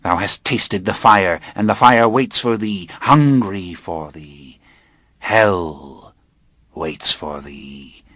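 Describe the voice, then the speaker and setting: A man voicing a cold, solemn male coven leader of a satanic sect, intoning slow archaic speech in an audiobook. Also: sits low, fairly bright tone, no room sound, heavily acted. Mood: menacing.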